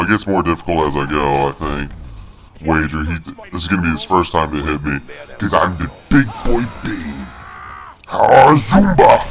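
Man with a deep pitched-down monster voice. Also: a little hiss or hum. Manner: joking, boastful, playful trash talk. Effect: pitched-down monster voice filter. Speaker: gamer. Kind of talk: let's play commentary.

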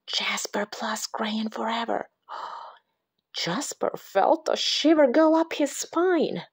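A mother reads a spooky picture book aloud at an unhurried pace. Her pitch rises and falls with lively expression. Now and then she slips into a theatrical whisper. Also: breathy edge. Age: adult. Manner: dramatic, drops to whispers for creepy moments. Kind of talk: expressive read-aloud storytelling.

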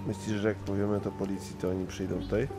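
Fast-talking man in a tabletop game. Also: low voice, flat delivery, creaky, music in the background. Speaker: player. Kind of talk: casual in-character tabletop roleplay.

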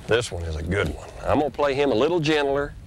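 A middle-aged man, folksy and drawling, hosting a fishing show and teaching while he fishes from a bass boat on a lake. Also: fast talker. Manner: folksy, drawling, excited when a fish bites. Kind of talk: casual outdoor instruction while fishing. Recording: noisy outdoor boat audio, 1990s tape.

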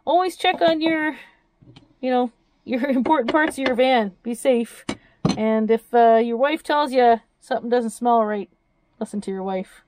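Chatty Canadian woman, higher voice. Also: measured pace, a little mellow, slight background noise. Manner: chatty, laughing, addresses viewers. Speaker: van life vlogger. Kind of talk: casual chat to viewers. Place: small van interior.

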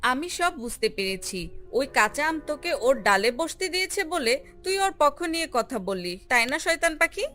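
A woman voicing a vain, haughty, quick-tempered female ripe mango in a children's cartoon, boasting and scolding. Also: high-pitched, sing-song, pushed and bright, exaggerated voice. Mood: furious. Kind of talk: animated children's fairy tale acting. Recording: music under the voice.

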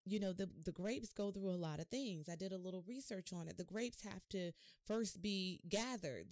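A middle-aged woman delivers a devotional message on her podcast from a home studio. She preaches in an animated, expressive way and keeps asking her listeners rhetorical questions. Her voice sits mid-range and sounds close and dry, with no room echo. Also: mid-range voice. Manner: animated hand gestures while talking. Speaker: podcast host. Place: home office studio, indoors.

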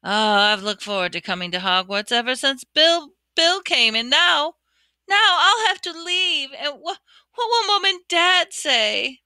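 A woman's voice: high-pitched, animated, measured pace, sharp tone, no room sound, pitched above the performer's own voice, exaggerated voice. A woman voicing a frightened young schoolgirl who is crying and stammering through her words. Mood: tearful, panicked. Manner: stammering, sobbing.

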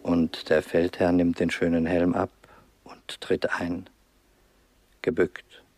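Deep, grave drama narrator. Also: male voice, monotone, darkish tone, no room sound, full character voice. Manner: measured, deliberate. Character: grave, sober narrator of a funeral procession. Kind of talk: solemn scripted narration in a radio drama.

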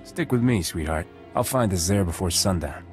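An actor playing a cocky, flirtatious starship captain in a video game, wry and self-assured with a slightly husky voice. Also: male voice, low-pitched, expressive, normal speed, faint music behind. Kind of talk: video game dialogue acting.

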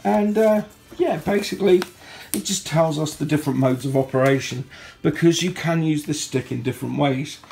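A man from the UK with a sore throat, chatting casually through an unboxing at home, recorded on a handheld phone and clearing his throat now and then. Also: fairly high pitch, moderate pace, a little mellow, slight background noise. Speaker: product reviewer. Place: indoor home room. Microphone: phone held in hand.

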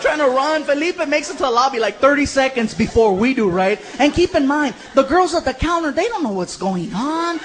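A man's voice: very high-pitched, animated, a little fast, few pauses, a crowd in the background. A man telling a slangy stand-up story, acting out dialogue and laughing as he talks. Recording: noisy live comedy show audio.

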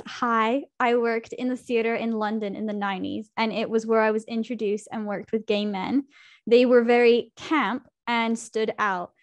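A woman's voice: a little high, no echo. A young woman hosting a podcast over a video call, reading listener questions aloud in a friendly way. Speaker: podcast host. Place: home room. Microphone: studio mic on a video call.

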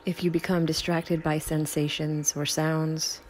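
A woman guiding a sleep meditation in a slow, soothing, unhurried way with long pauses. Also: low voice, level tone, a little mellow. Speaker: meditation guide. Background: Tibetan singing bowl tones.

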